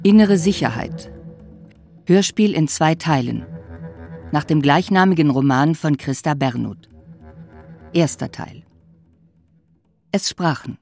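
A woman's voice: on the low side, darkish tone, no echo, studio quality. A woman announcer formally reading scripted titles and credits for a radio play.

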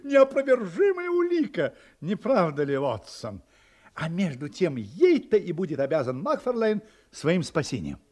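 An actor playing a shrewd, ironic gentleman detective in a radio drama, speaking in a wry, deliberate, mock-humble way. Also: sits high, huge pitch swings, heavily acted. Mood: sly, ironic. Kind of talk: theatrical radio drama acting in a detective play.

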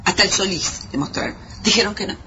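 A woman's voice: mid-range voice, quick pace, pushed and bright. A woman with a Uruguayan accent reminiscing casually as a radio guest, emphatic and repeating words for stress. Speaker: actress, interview guest. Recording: dull, very noisy old radio tape.

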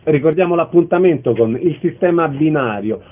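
A man's voice: on the high side, expressive, normal speed. Male radio host with phone-like sound. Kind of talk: radio interview sign-off, announcing details.

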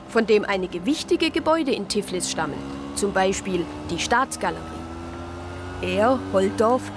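A woman's voice: mid-range voice, background noise. An actress playing an earnest, caring church sister with a Swabian accent, telling her story plainly and reflectively in a radio drama. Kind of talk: radio drama first-person narration and dialogue.

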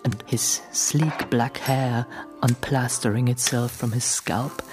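A male actor plays a young English writer in a radio play. He is reserved and curious, with a medium-pitched voice, shifting between conversation and literary narration. Music runs clearly behind him. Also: steady tone, slow, lightly acted. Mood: wry, observant. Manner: switches between German dialogue and English narration.

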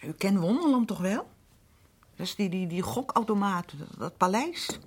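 A woman's voice: mid-range voice, big pitch swings, normal speed, breathy edge. An actress playing a chatty middle-aged working-class mother who rambles and defers to her husband, in radio drama. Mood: confiding. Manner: rambling, repetitive, seeking confirmation. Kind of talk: naturalistic Dutch radio drama acting.

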